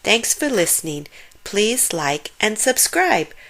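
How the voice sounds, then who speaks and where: A woman narrating a children's storybook aloud in an expressive, unhurried manner. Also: mid-range voice, creaky.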